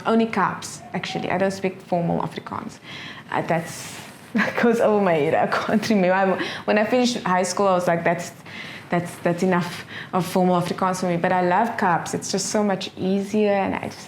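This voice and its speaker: A middle-aged South African woman, a professor speaking as an interview guest, earnest and quick to laugh, often restarting her phrases. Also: medium pitch, a little hiss or hum. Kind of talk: casual interview answers.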